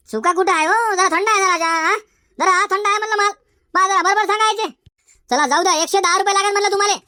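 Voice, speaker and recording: A performer voicing a haggling, bantering cartoon chilli-market trader, squeaky and folksy, in comedic dialogue. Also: bright, forceful tone, dry recording, studio quality. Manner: folksy, insistent bargaining. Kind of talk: comedic cartoon dialogue in colloquial rural Marathi.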